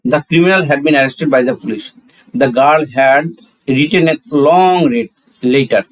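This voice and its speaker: A man's voice: a little high, drawn-out words, rough recording. A man with a Bangladeshi accent teaching a grammar lesson step by step, often posing questions and answering them himself. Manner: instructional, asks and answers own questions, switches between Bengali and English. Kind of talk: classroom-style lesson, explaining step by step.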